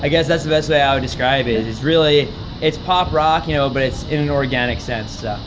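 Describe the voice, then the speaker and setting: A man's voice: mid-range voice, a little fast. A young man, the band's lyricist, explaining his ideas at length in a casual interview. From New Jersey. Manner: thoughtful, explains at length with "you know". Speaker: band member, lyricist. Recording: muffled, with background noise.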